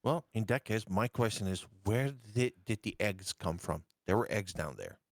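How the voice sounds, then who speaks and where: A man's voice: on the low side, expressive, moderate pace, dry recording, clean studio sound. A man playing a tabletop game, cautious and reasoning questions through aloud. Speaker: player. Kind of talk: casual tabletop play talk.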